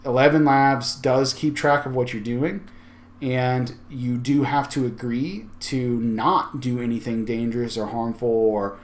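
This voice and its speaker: A man with a mid-pitched voice hosts a casual tech walkthrough. He talks conversationally and thinks aloud while explaining each step. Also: fairly even intonation, measured pace. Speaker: tech tutorial host. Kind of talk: casual screen-share tutorial.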